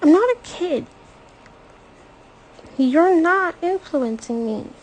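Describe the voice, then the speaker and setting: A woman voicing a teenage girl who is crying and furious in her grief, with shrill, sobbing outbursts. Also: expressive, slow, heavily acted, low-quality recording. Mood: upset.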